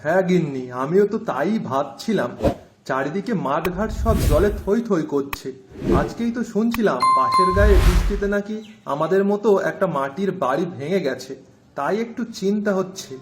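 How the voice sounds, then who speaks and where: A man's voice: sits high, animated, moderate pace, over music. A man with a Bengali accent playing an anxious, earnest villager in a folk-tale drama. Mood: worried. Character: anxious, civic-minded poor male villager in a folk tale.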